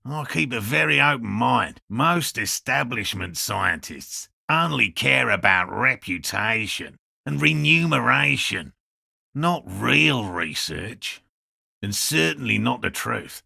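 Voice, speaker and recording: A man playing an earnest, fussy cryptozoologist in a video game, a proud outsider scientist with a gravelly, husky voice and precise, bookish phrasing. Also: medium pitch, animated, slow, pushed and bright, dry recording, studio quality, heavily acted. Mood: earnest, defensive, then bitter. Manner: precise, bookish, formal wording. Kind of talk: video game dialogue acting.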